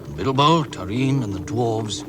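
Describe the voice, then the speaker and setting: A man's voice: medium pitch, lively intonation, measured pace, background noise, close to an everyday voice. A man narrating a fantasy quest as a solemn storyteller in a scripted radio drama. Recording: narration under loud dramatic music. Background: loud music.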